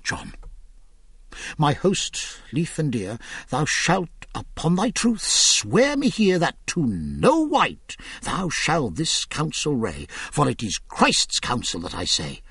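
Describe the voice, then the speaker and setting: A man reading Middle English verse, voicing a sly, secretive young male scholar who speaks in a hushed, solemn way. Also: a little high, wildly swooping pitch, takes it slowly, breathy edge, no echo, studio quality, full character voice.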